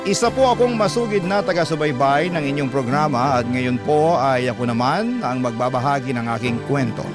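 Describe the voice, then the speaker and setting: Male radio host for scripted heartfelt narration: slightly high pitch, dramatic pauses, over clear background music. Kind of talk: scripted emotional storytelling narration.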